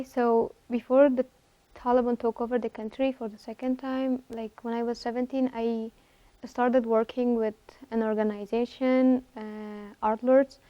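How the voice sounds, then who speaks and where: Young woman, halting interview answers. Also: on the high side, dry recording, lo-fi sound. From Afghanistan. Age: 20s. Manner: hesitant, many fillers and restarts. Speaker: guest, student artist.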